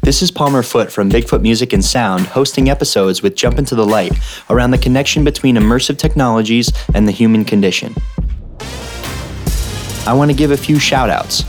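A man hosting a podcast interview, speaking in a relaxed way with many fillers. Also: medium pitch, brisk, faint music behind. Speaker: podcast host.

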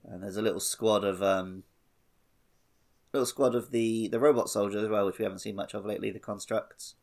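A man with a fairly low voice chats as a podcast co-host. His delivery is easygoing and conversational, like someone casually talking a friend through a game. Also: steady tone, brisk, gravelly, darkish tone.

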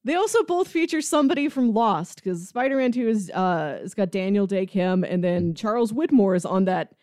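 A woman's voice: mid-range voice, animated, normal speed, darkish tone, no room sound. Woman talking films, close dry sound. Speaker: podcast co-host debating films. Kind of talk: casual film discussion.

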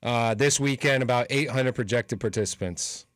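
A man's voice: mid-range voice, normal speed, a bit bright, no echo, clean studio sound. Upbeat male radio sports host. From New Hampshire. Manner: upbeat, joking, reads event listings. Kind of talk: casual radio sports chat.

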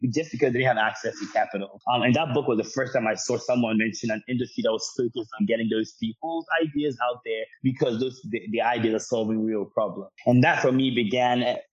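A husky-voiced young man from Ghana talks through his path as a podcast guest, earnest and chatty, often starting a phrase twice. The sound is dull and lo-fi, as if his mic cuts the highs. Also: quick pace, hardly any pauses. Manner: earnest, talkative, frequent restarts. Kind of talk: storytelling interview answer.

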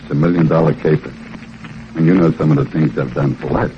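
Low-pitched gangster, old radio. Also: male voice, fairly even intonation, quick pace. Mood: guarded, shrewd. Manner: slangy, terse, guarded. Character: shrewd, menacing male gangster and smuggler. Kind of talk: 1940s radio crime drama acting. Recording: muffled, noisy vintage radio recording.

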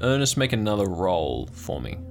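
A man with a low voice acts as game master, describing scenes directly to his players. He improvises his narration, and music plays clearly behind him. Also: very animated, normal speed. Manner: descriptive second-person scene setting.

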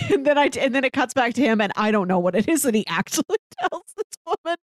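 A woman's voice: high-pitched, sing-song, fast, dry recording. Woman chatting, very dry close sound. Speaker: podcast co-host reviewing a TV series. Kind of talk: casual discussion.

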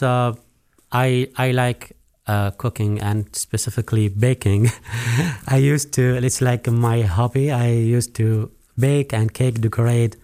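A man's voice: medium pitch, mellow. A man from Iraq, a restaurant co-owner, answering interview questions hesitantly with many fillers.

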